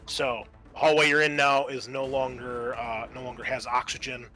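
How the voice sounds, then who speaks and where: A man's voice: mid-range voice, flat delivery, moderate pace, bright, forceful tone. A man acting as game master, narrating scenes and explaining rules in a conversational way over background music. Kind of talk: narrating and ruling a tabletop roleplaying game.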